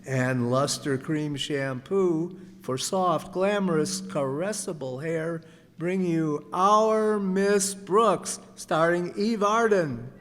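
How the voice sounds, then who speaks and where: A man plays a formal, polished announcer from 1950s radio. He is fairly high for a man and very unhurried, and the hall adds a long echo. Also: fairly bright tone, soft background music. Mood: polished, promotional. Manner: deliberate, formal. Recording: echoey hall, live stage reading.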